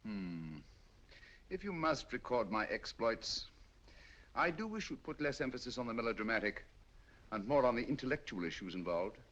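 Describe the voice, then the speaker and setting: An actor playing a cool, intellectual detective in a 1940s film, speaking in a measured, wry way. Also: male voice, mid-range voice, big pitch swings, fairly bright tone. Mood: dry. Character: cool, precise male detective, intellectual and dry. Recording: dull lo-fi old film soundtrack.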